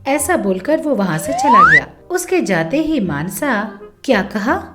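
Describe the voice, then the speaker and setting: A woman's voice: high voice, huge pitch swings, unhurried. A woman narrating a scripted story as a storyteller. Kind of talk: scripted story narration for Hindi moral tales. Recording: music bed under the voice.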